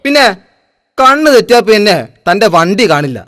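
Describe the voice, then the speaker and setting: A man's voice: high voice, big pitch swings, normal speed, pushed and bright, no room sound, clean studio sound, heavily acted. A man voicing a teasing, know-it-all friend who talks in warnings and jibes in a comic cartoon. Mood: mocking, warning. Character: mocking, know-it-all male village friend.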